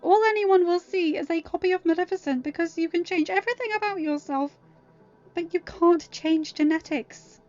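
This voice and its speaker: A woman's voice: very high-pitched, moderate pace, darkish tone, dull audio, faint music behind, pitched above the performer's own voice. A woman voicing a troubled, self-doubting teenage girl in a plaintive, insistent way for a story. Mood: dejected.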